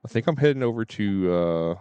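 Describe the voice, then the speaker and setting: A man streaming a game and chatting casually, halting and often repeating himself. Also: on the low side, lively intonation, mellow, clear, resonant tone, highs cut off. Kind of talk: casual live stream chat.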